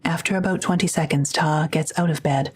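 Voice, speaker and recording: A woman's voice: sits low, fairly even intonation, brisk, breathy edge. A woman reading scripted narration for an audio drama, as its narrator.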